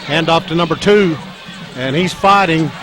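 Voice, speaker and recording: Scratchy-voiced small-town football announcer. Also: male voice, a little high. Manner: halting, frequent fillers, rises when plays break open. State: scratchy, strained voice. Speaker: play-by-play announcer. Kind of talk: local high school football commentary. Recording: crowd and music under the voice. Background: crowd and band music.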